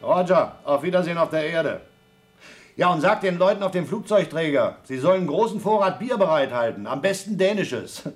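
A man's voice: on the high side, expressive, fairly quick, natural voice. An actor playing a rule-minded male astronaut on a moon station in a radio play, speaking in a dry, dutiful way.

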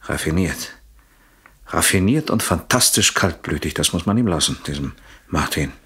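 An actor in a radio play playing a jealous, coldly sardonic husband with a mockingly polite, deliberate manner. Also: male voice, low-pitched, lively intonation, sharp tone, slightly rough, full character voice. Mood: controlled, wry.

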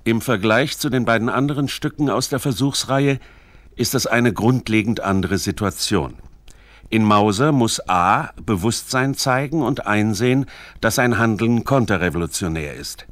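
Low-voiced male feature narrator, dry studio. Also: no echo. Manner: measured, precise, formal delivery. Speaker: documentary narrator. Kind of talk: scripted radio feature narration.

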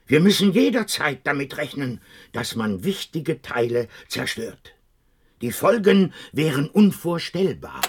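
An actor playing a stern president giving an official address in a German science fiction radio drama, with a hoarse edge to the voice. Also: male voice, on the high side, very animated, unhurried, exaggerated voice.

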